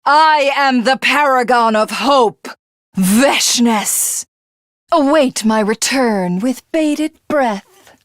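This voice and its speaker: A haughty female alien game villain with a fairly high voice and a slow, deliberate delivery. She speaks with grandiose, theatrical condescension, like one who means to become a god, in a dry studio recording. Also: dry recording. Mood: smug, ambitious. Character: haughty female alien commander craving godhood. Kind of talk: dramatic video game villain acting.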